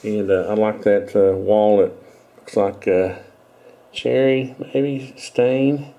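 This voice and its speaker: A man's voice: lowish voice, steady tone, slow pace, dark tone. A middle-aged man with a stuffy, congested voice, casually showing off a hobby project in a rambling, self-correcting way, recorded on a headset mic in a small room. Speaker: video host. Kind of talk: casual show-and-tell talk.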